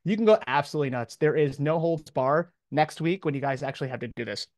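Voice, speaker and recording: Casual male professor, slightly higher voice, dry sound. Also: quick pace, darkish tone, no room sound. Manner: casual, encouraging, slangy. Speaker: college professor. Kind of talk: informal spoken lecture to a class.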